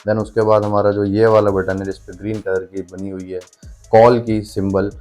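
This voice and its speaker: Male vlogger, low and level delivery, chatty hands-on explainer tone, light music underneath. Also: little pitch movement, normal speed, darkish tone. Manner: informal, chatty, addresses viewers as brother. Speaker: motorcycle vlogger and product reviewer. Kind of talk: casual hands-on product demonstration.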